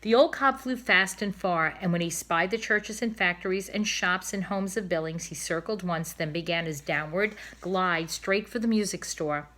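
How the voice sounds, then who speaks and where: A woman narrates a children's book with expressive, deliberate phrasing. Her voice sits a little low, yet it is bright and forceful. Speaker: storybook reader.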